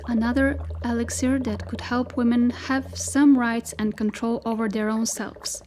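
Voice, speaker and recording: Higher-voiced woman narrating a story. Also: a little mellow, slight background noise. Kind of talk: scripted literary audio-drama narration.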